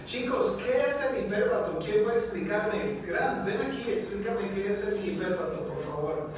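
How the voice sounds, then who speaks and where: A man teaching a class, speaking slowly and clearly, praising his students playfully. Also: sits high, animated. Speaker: language teacher. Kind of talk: classroom teaching in a played video. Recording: roomy classroom, distant mic, noisy.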